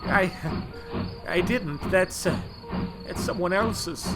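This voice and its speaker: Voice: medium pitch, takes it slowly, over loud music, natural voice. An actor playing a nervous, panicky small male tank engine in an amateur audio drama, with a hesitant, stammering way of talking. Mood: flustered.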